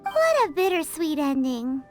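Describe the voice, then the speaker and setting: Squeaky, excitable little fairy companion. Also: female voice, a little slow, music in the background. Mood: cheeky, gleeful. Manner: excitable, exclamatory. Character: tiny cheerful childlike female fairy companion, cheeky. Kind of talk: video game character acting.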